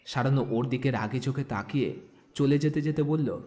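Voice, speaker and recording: A man's voice: mid-range voice, normal speed, warm tone. A man narrating a scripted audio story.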